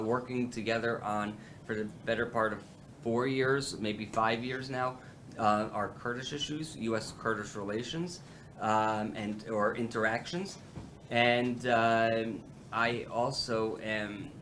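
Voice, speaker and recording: A man's voice: on the low side, a bit bright, a little hiss or hum. A man, president of a policy institute, hosting a roundtable and speaking with many fillers and restarts. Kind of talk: halting roundtable remarks.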